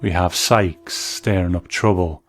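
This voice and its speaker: A male host talks through a reflective review in a low-pitched voice. Soft music plays faintly behind his commentary. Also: steady tone, a little slow.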